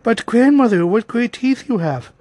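Man putting on an innocent little girl, high-pitched. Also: expressive, normal speed, darkish tone, no echo. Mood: amazed. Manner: earnest, polite. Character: innocent, polite, trusting little girl in a fairy tale.

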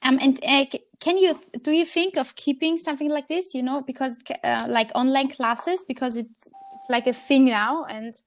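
A woman's voice: high voice, steady tone, normal speed. A woman from Austria, an interviewer who asks hesitant, rambling questions over a video call.

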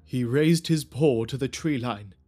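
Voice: mid-range voice, a little slow, dark tone, husky. Storyteller narrating over music. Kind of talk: scripted storytelling narration, spooky audio drama. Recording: music behind the voice.

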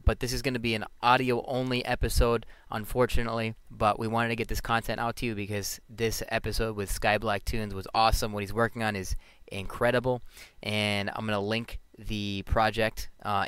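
Male podcast host for an informal announcement, lowish crisp voice, dry close sound. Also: steady tone, a little fast, fairly bright tone, no echo.

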